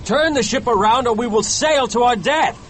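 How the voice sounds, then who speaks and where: A man's voice: extremely high pitch, moderate pace, fairly bright tone, slight background noise, pitched above the performer's own voice. A man voicing an anxious, desperate ship's mate in a storybook, crying out urgent warnings in a nervous, pleading manner. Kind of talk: dramatized storybook narration.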